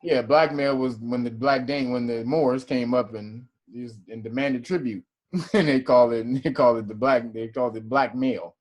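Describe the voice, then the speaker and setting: A man's voice: mid-range voice, moderate pace, darkish tone. A man hosting a casual class, reading definitions aloud and explaining them in loose, slangy talk with profanity and laughter. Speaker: class teacher and host.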